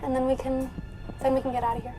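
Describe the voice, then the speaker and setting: High-voiced woman playing a tabletop game. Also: flat delivery, fast, warm tone, faint music behind. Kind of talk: casual in-game table talk.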